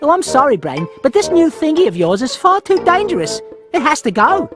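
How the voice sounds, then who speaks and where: A dim, eager cartoon mouse sidekick. The voice is raised high and bounces excitedly, simple and gushing, with music behind. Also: male voice, fairly quick.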